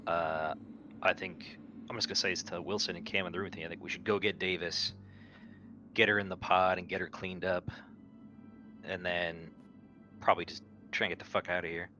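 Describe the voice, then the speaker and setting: A man's voice: low-pitched, steady tone, moderate pace, long pauses, bright, forceful tone, soft background music. A man playing a tabletop roleplaying game, chatting casually about what his character will do. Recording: dull lo-fi audio, faint noise.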